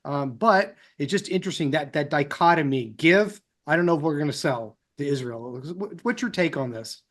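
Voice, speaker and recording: A man's voice: a little high, expressive, normal speed, fairly bright tone. A man hosting an interview, rambling and piling up repeated words as he sets up his question. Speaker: interview host. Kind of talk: conversational interview.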